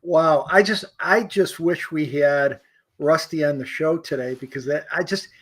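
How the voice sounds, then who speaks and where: A man's voice: fairly high pitch, measured pace, a bit bright. An older man from Chicago co-hosting a casual chat show, rambling with fillers and restarts. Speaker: co-host.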